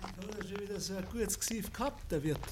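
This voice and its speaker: An actor playing a mild, pious Bavarian village sexton in dialect in a 1950s radio play. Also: male voice, high voice, fast, lightly acted. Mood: curious. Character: pious village sexton walking home from the inn. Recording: noisy old 1950s radio recording.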